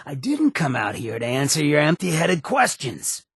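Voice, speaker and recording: An actor playing a swaggering, sardonic ghoul gangster companion in a video game, with a gravelly rasp and a wry, streetwise drawl. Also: male voice, on the high side, wildly swooping pitch, normal speed, a bit bright, no room sound, clean studio sound, heavily acted. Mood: annoyed, threatening. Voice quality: gravelly, raspy.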